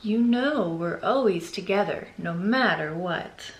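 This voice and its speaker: A woman voicing a gentle, reassuring storybook mother in a soothing, patient way. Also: mid-range voice, expressive, unhurried, roomy sound, lightly acted, rough recording.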